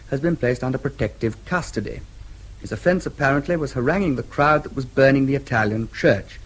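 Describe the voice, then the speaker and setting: An actor playing a dry, formal British diplomat in a radio drama, with a slightly husky voice and a wry manner. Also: male voice, fairly high pitch, natural voice, poor audio. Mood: formal, dictating.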